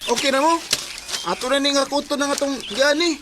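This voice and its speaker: A man's voice: very high-pitched, fairly quick, music in the background. An actor playing young Jonathan, a good-humored village boy, in a radio drama. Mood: easygoing. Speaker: main character in the drama.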